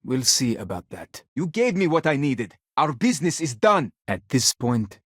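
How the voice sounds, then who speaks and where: A man's voice: mid-range voice, sing-song, normal speed, breathy edge, dry recording, studio quality. A man playing a bitter, proud, middle-aged widowed father in a video game, speaking in a stern, indignant way with clipped, formal phrasing. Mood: stern, guarded.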